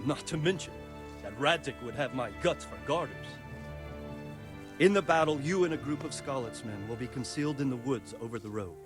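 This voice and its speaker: Game cutscene role: male actor as blunt, authoritative medieval commander, mid-pitched voice, scored underneath. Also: expressive, normal speed, music in the background, lightly acted. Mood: firm, grave. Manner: authoritative, clipped orders. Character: stern medieval military commander, grown man, blunt. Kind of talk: dramatic game cutscene acting.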